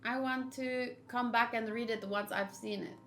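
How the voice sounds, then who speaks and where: A woman's voice: on the high side, fairly even intonation, moderate pace, fairly bright tone. A woman livestreaming a video game, chatting casually and thinking aloud as she switches between her viewers and the game. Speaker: game streamer. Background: video game music.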